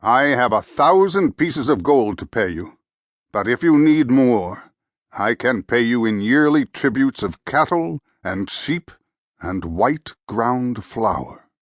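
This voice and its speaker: A man's voice: medium pitch, animated, slow pace, no room sound. An actor playing an eager, earnest ancient Greek king in an audiobook drama, speaking emphatically. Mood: earnest, pleading.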